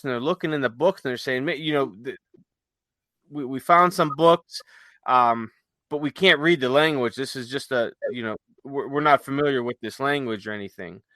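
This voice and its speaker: A man podcast host talking casually, telling stories in a halting, conversational way. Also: mid-range voice, a bit bright, dry recording. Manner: halting, conversational, retells stories with quoted dialogue. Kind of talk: casual chat, rambling storytelling.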